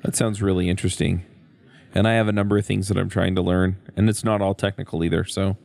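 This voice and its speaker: A man's voice: low-pitched, little pitch movement, quick pace, vocal fry, darkish tone. A man hosting a podcast, chatting in an informal, conversational way. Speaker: podcast host. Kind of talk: casual podcast chat.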